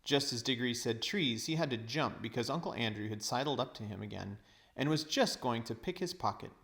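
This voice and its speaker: Man narrating a storybook, mid-pitched. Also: lively intonation, fairly quick, vocal fry, fairly bright tone. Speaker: teacher reading aloud.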